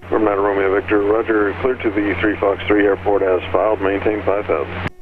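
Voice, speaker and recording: A man speaks as an air traffic controller in a fast, level, procedural patter. His voice is on the low side and comes through a narrow, distant radio channel with clear static and noise. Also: flat delivery. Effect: aviation radio transmission. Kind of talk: clipped procedural radio instructions. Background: radio static and engine noise.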